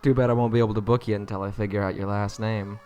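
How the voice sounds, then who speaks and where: A man's voice: lowish voice, little pitch movement, a little mellow, close to an everyday voice. A man playing a cocky, world-weary police detective in a cyberpunk audio roleplay, sarcastic and needling in his delivery. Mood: teasing, cocky. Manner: sarcastic, taunting, casual drawn-out jabs. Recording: clear background noise, sirens and street ambience.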